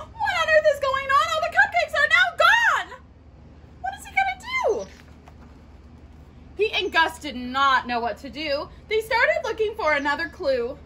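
Very high, animated young woman reading to children. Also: unhurried, a little hiss or hum. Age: young adult. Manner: animated sing-song delivery with exaggerated expression. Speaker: storytime reader at a children's park. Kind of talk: children's picture book read-aloud. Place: outdoors in a leafy garden.

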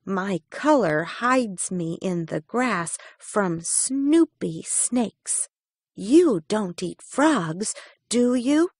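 A woman's voice: mid-range voice, animated, drawn-out words, breathy edge, dry recording, clean studio sound, exaggerated voice. Woman doing a wary little green frog. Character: small cautious green frog.

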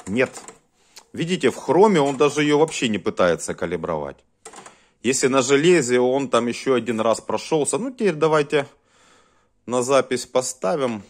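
Man in lively, halting casual commentary. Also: medium pitch. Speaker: host of a cassette deck test vlog. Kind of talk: casual hands-on demonstration commentary.

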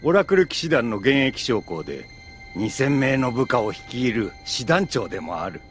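A man's voice: medium pitch, big pitch swings, normal speed, lightly acted. An actor playing a dignified, patient elderly grandfather in an anime audio drama, speaking formally. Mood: explanatory. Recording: music behind the voice.

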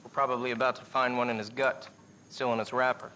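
Junior morgue man, mid-pitched and sharp, uneasy but wry, for a video game scene. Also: level tone, quick pace, slight background noise. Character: uneasy junior male morgue worker, cynical quips. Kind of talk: video game cutscene acting.

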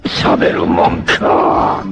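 Defiant dying martial artist, 1980s anime, gravelly, slow and dramatic, cocky, noisy old soundtrack. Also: male voice. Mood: strained defiance. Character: defiant, free-spirited martial-arts warrior, battered and dying.

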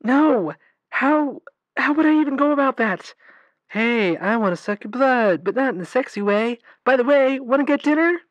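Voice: sits high, expressive, moderate pace, no room sound, poor audio. Actor as nervous vampire caller, stammering, confiding, hesitant, dry close sound, radio drama. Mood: panicked, anxious. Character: anxious young vampire caller with a crush. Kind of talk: audio drama call-in acting.

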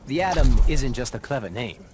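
A man's voice: medium pitch, expressive, a little fast, music in the background, natural voice. A man playing an earnest, modest young scientist superhero in a video game, polite and precise. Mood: earnest, confident. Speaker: voice actor. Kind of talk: video game pre-fight dialogue. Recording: very noisy game audio with effects.

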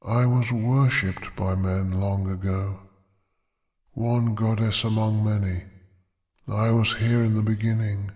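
A man voicing an ancient, imprisoned female dragon goddess who speaks slowly and with command. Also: fairly low pitch, flat delivery, a little mellow. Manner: slow, measured, otherworldly.

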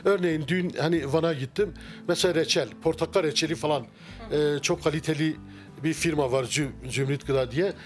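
A middle-aged man, a restaurant owner, answering interview questions in a relaxed, halting way with many fillers and restarts, inside a wooden-walled restaurant. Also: on the high side, soft background music. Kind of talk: casual interview. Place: wood-paneled restaurant interior. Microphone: handheld mic held by interviewer.

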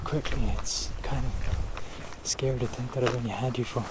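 A young man speaking softly and gently in an unhurried, musing ASMR roleplay, with pauses, while walking outdoors. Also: medium pitch, little pitch movement. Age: young adult. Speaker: ASMR creator. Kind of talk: soft-spoken ASMR roleplay, casual monologue. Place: outdoors on night streets, walking. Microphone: handheld camera at arm's length, wind-buffeted. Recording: loud wind and outdoor noise.

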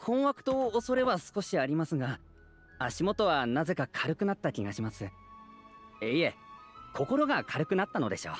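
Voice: medium pitch, big pitch swings, normal speed, soft background music, close to an everyday voice. An actor voicing a humble, anxious citizen of a fairytale town in a Japanese video game, speaking formally and earnestly. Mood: relieved. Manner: formal, deferential, earnest speech.

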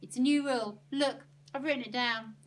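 A woman's voice: on the high side, normal speed, pitched above the performer's own voice, lightly acted. A woman voicing a bossy, no-nonsense aunt with a firm, commanding manner. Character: bossy, no-nonsense aunt who lays down rules.

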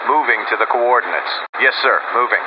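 Game army vehicle guy, clipped and dutiful, dull radio sound, loud engine noise. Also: mid-range voice, brisk. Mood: businesslike, alert. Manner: clipped, formal military reporting. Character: dutiful male soldier commanding an anti-air vehicle. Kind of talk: video game unit voice lines, military radio reports.

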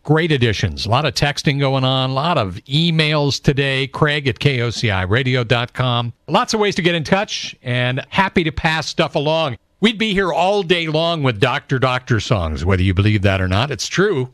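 A man's voice: medium pitch, animated, moderate pace, few pauses, fairly bright tone, dry recording. American man hosting rock radio, dry sound. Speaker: radio DJ and show host. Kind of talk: classic rock radio DJ patter. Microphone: close studio broadcast microphone.

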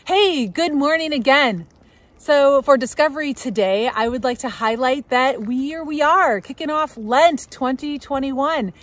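A woman's voice: high-pitched, measured pace, dry recording. A middle-aged woman giving upbeat, thankful church announcements outdoors by a snowy shore, filmed on a phone at arm's length. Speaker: church education leader. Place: snowy lakeshore at dusk, outdoors.

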